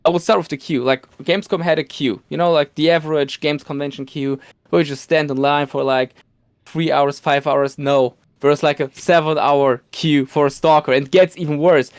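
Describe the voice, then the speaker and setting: Smooth-voiced man telling excited stories. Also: fairly high pitch, moderate pace, dry recording. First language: Polish. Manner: excited storytelling with laughs and restarts. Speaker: podcast guest, cosplayer. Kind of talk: casual podcast chat.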